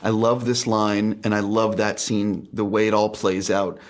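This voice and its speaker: A man's voice: on the low side, flat delivery, a little slow. A man working as an interviewer, chatting in an informal, enthusiastic way as he puts questions to his guests. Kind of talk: casual, conversational interview.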